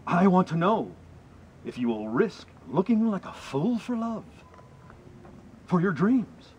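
A middle-aged man reciting poetry to a small group outdoors, with earnest, deliberate and emphatic phrasing. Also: sits high, big pitch swings, a little mellow. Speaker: poem reader, presenter. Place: outdoors in a wooded area. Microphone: camera mic a few meters away. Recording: slightly muffled outdoor camera audio, faint ambient noise.

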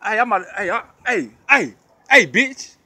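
High-pitched, animated Texan man, slangy and taunting. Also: normal speed, no echo. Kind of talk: casual excited street commentary while filming. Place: outdoors at night.

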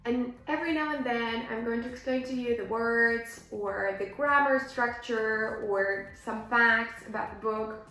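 Echoey, slow-speaking young woman teaching. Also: on the high side, sharp tone. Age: young adult. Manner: didactic, simple careful phrasing with check questions. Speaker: English teacher and video host. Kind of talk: reading aloud and explaining for language learners. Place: small bare indoor room. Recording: echoey bare room, music behind.

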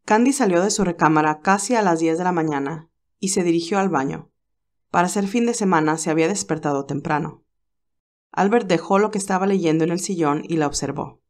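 A woman reading a romance novel aloud in a calm, even storytelling voice. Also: on the low side, brisk. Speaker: narrator reading a fan novel. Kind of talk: audiobook narration.

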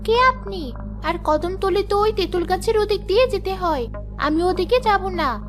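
Cartoon village girl voiced by a woman: youthful, very high voice, pleading manner, clear music bed. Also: expressive, normal speed. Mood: worried. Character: young village girl, wary, sweet, easily tempted. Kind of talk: children's cartoon voice acting.

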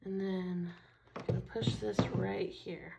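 A woman's voice: mid-range voice, fairly even intonation, takes it slowly, gravelly, very noisy. A woman teaching a casual craft tutorial, chatting enthusiastically as she works with her hands at a table. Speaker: craft store instructor. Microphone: overhead camera, only her hands seen.

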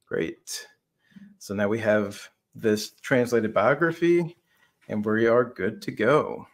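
A man's voice: on the low side, slow. A man in his thirties explaining a product on a video call as a conversational co-presenter. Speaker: software demo co-presenter.

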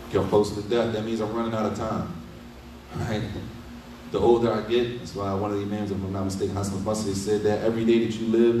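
Middle-aged man, mellow low voice, casual sermon, echoey small room, mic at a distance. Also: a little hiss or hum. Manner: conversational, asks rhetorical questions with frequent "right?". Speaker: imam giving a lesson. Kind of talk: casual Islamic lecture. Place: small indoor study room. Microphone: desk mic on a stand.

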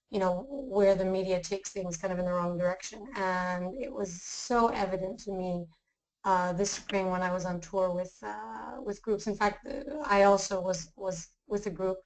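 A woman's voice: mid-range voice, low-quality recording. Upbeat woman on a call. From Greece, raised in the US. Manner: warm, upbeat, laughs easily. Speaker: tour manager. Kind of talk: webinar talk about travel.

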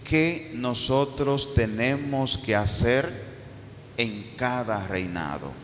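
Man, priest, sermon at pulpit, stand mic, very slow delivery, echoing church. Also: medium pitch, a bit bright. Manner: slow, rising and falling preaching cadence with repeated phrases. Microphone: handheld mic on a stand. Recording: reverberant church with faint background noise.

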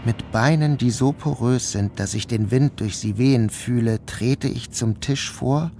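A man playing an anxious, guilt-ridden narrator who tells his own ordeal in a measured, deliberate radio drama style. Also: medium pitch, dark tone, a little hiss or hum, natural voice. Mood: oppressed, introspective. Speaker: narrator and lead actor. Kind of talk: literary first-person radio drama narration.

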